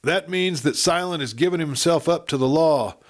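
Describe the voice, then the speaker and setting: A man's voice: a little high, normal speed, voice pushed up, natural voice. A man voicing an anguished, resolute young ranch woman in a western audiobook, speaking plainly and insistently. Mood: disbelieving.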